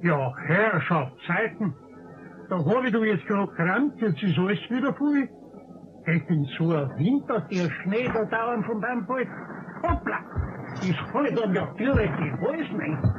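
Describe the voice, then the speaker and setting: Grumpy, high-voiced Bavarian old caretaker. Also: male voice, big pitch swings, measured pace. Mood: grumbling. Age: older. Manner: grumbling, scolding. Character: grumpy, indignant old male caretaker shovelling snow. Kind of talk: 1960s German radio play acting. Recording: muffled, noisy old radio-play recording.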